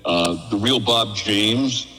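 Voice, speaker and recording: A man's voice: fairly low pitch, slow pace, bright, forceful tone, big-room sound, rough recording. An older man, a radio veteran, reminiscing casually as an interview guest.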